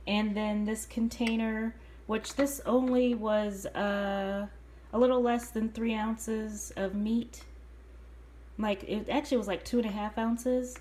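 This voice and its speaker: A woman's voice: mid-range voice, darkish tone. A woman casually explaining her meal prep step by step in her home kitchen, recorded on a handheld phone. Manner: chatty, explains step by step with fillers. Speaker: home cook vlogger. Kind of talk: casual cooking narration. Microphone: handheld phone camera. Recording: faint kitchen noise, sizzling pan.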